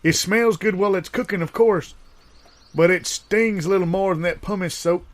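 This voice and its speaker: A man's voice: sits high, moderate pace, lightly acted. A man playing a forgetful old grandpa, rambling through folksy reminiscences in improvised audio comedy. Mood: folksy, reminiscing. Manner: meandering, folksy storytelling.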